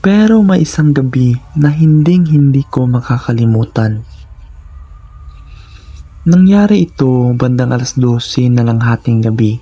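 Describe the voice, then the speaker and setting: A man's voice: mid-range voice, expressive, a little slow, long pauses, warm tone, dry recording, highs cut off. A man narrating scripted first-person horror stories in a dramatic, suspenseful manner, in short phrases.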